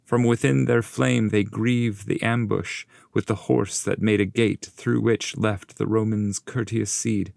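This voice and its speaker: A man's voice: fairly low pitch, steady tone, a little slow, creaky, hardly any pauses, a little mellow, no room sound, studio quality, pitched above the performer's own voice. A man voicing a wise, commanding ghostly poet guide in stately, instructive and formal verse. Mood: grave explaining. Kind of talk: dramatic audiobook reading of epic verse.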